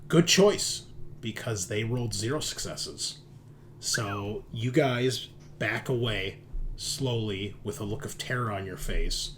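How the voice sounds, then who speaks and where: Low-voiced man running a tabletop game. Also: expressive, takes it slowly, fairly bright tone, slight background noise. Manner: conversational, addresses players directly. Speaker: game master. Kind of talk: narrating a tabletop roleplaying game.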